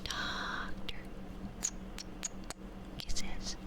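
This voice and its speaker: A woman in her twenties with a stuffy nose, chatting softly on a live ASMR stream and slipping into whispers. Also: drawn-out words. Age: 20s. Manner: soft and relaxed, drifting into whispers. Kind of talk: casual chat to a live stream. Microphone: binaural mic.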